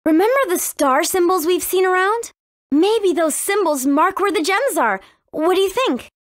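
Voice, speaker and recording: Very high-voiced spirited girl. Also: normal speed, no room sound, studio quality. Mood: curious. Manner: expressive, earnest. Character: spirited young girl adventurer, earnest companion. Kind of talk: video game voice acting.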